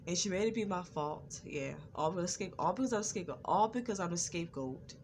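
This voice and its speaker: A woman's voice: lowish voice, expressive, quick pace, darkish tone, slight background noise. A woman vlogger with a stutter, telling a personal story and circling back over the same phrases again and again. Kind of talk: personal testimony vlog.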